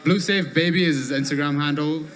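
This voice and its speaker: A man's voice: a little high, steady tone, a little fast, a bit bright. A man hosting a live event, easygoing and grateful, with loose, casual phrasing as he wraps things up. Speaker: host of a live event series.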